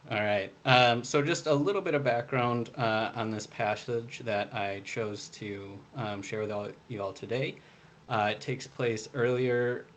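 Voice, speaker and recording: Male author, low monotone delivery, some steady background noise. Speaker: debut author reading from own novel. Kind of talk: reading a prose passage aloud, with some informal introduction.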